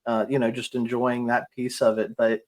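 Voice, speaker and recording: A man hosting a podcast, chatting in an easygoing, relaxed way. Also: medium pitch, moderate pace. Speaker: podcast host. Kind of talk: casual chat.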